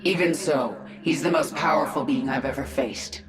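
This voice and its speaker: An actress playing a cold, vengeful warrior queen of an alien swarm in a video game, measured and commanding, with an echoing effect. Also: low-pitched. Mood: grim resolve. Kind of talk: video game character acting. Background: music.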